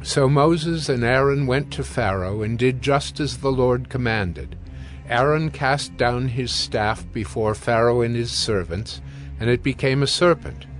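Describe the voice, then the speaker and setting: A man narrating scripture from a script in a measured, formal storytelling manner. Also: medium pitch, soft background music.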